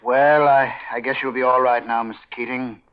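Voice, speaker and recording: An actor playing a suspicious, cautious doctor with a measured, formal delivery in a 1940s radio drama. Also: male voice, mid-range voice, steady tone, slight background noise, lightly acted. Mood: wary, measured. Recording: dull old 1940s radio recording.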